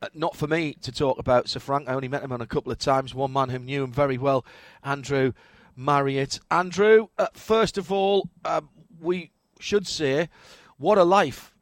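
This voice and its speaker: A man's voice: mid-range voice, fairly even intonation, normal speed, dry recording. Hesitant male radio host, dry sound. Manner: hesitant, fillers and stammers. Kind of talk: radio talk show hosting.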